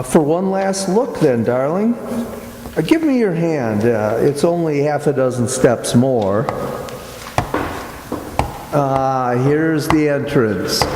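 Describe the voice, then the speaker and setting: A man acting an uneasy, imaginative gentleman in an amateur radio drama. His fairly high voice swings widely in pitch and hesitates as he muses. It all rings through an echoey hall. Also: background noise. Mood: reassuring, careful. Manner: hesitant, halting, musing. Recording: echoey hall with long reverb.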